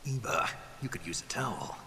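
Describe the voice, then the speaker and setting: A very low, gravelly American soldier from a video game. He is gruff, stoic and terse with a dry deadpan delivery, heard in long reverb over clear noise. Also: brisk, exaggerated voice. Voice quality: gravelly, husky. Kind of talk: video game cutscene acting.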